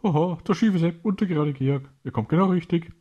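A gloating, half-mad pirate villain acted out by a male storyteller in a children's tale. The voice jumps up high and theatrical, with a husky edge. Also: brisk, dull audio. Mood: gloating, triumphant. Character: crazed, gloating male pirate usurper, the king's cousin.

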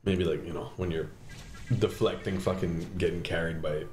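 A man's voice: sits low, fairly even intonation, moderate pace, a little mellow. A young man co-hosting a casual slot bonus opening, teasing his friend, swearing and laughing often. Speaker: co-host. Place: indoor streaming studio. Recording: roomy studio with casino game music.

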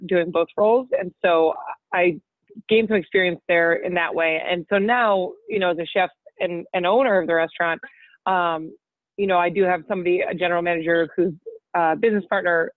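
A woman's voice: on the low side, no room sound. A woman who is a chef and restaurant owner, answering interview questions in a direct, fluent way with an occasional um. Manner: direct, fluent, with um and you know. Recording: dry, tinny phone-like audio.

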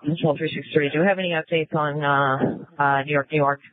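A woman's voice: low voice, little pitch movement, brisk, no echo. American woman on a phone-thin police radio, coordinating units in procedural, directive phrases. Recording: narrow, tinny police scanner radio.

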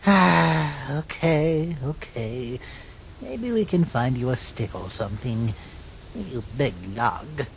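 Woman voicing an excitable, theatrical young male skeleton. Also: deep voice, slow pace, creaky, a little hiss or hum, heavily acted. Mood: resigned, fond. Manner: theatrical, grandiose, dramatic. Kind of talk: animated comic dub acting.

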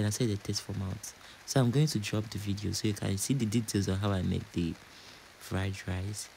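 A man's voice: lowish voice, creaky, darkish tone, a little hiss or hum, rough recording. A man from Nigeria with a Nigerian accent, chatting casually and repeating phrases as a home cook narrating a cooking vlog.

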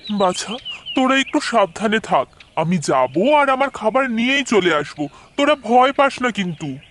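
A man acts out a small mother sparrow from a cartoon fairy tale. The voice is very high and animated, tender yet plaintive and full of worry. There is slight noise in the background. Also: unhurried, heavily acted. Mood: worried, tender. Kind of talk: cartoon storybook character voice.

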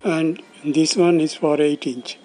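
Man, fairly high pitch, mellow tone, scripted instructional narration, faint music. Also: fairly even intonation, slow. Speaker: presenter of a loudspeaker testing demonstration.

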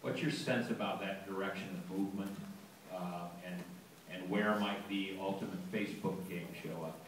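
A man's voice: sits low, steady tone, moderate pace, a little hiss or hum. A man in the audience asking an earnest, rambling question, heard in a large lecture hall. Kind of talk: question from the floor. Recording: echoey hall from far off.

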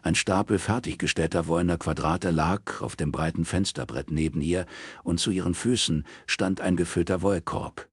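A man's voice: low-pitched, moderate pace, slightly rough, no echo, studio quality. A man narrating a crime novel as an audiobook, reading from a script.

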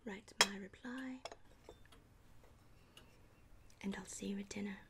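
A woman in her thirties playing a genteel, affectionate Regency wife in a soft, breathy, unhurried ASMR whisper, close to the microphone. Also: mid-range voice, steady tone, heavy background noise, lightly acted. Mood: gentle, fond. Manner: genteel, unhurried, old-fashioned phrasing. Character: genteel Regency married sister, affectionate and house-proud. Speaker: ASMR roleplay performer. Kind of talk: whispered ASMR period roleplay.

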